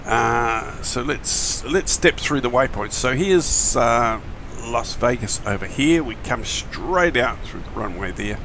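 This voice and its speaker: A man, his voice gravelly and croaky in the morning, chatting casually and with many fillers as he streams a flight simulator. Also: medium pitch, expressive, slow pace, hardly any pauses, fairly bright tone, slight background noise, rough recording. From New Zealand. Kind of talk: casual live-stream chat.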